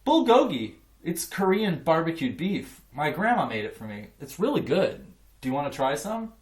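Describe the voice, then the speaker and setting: A man's voice: on the high side, sing-song, moderate pace, a little mellow, echoey room, pitched above the performer's own voice. A man voicing a friendly, earnest new schoolboy in a children's storybook. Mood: proud, friendly.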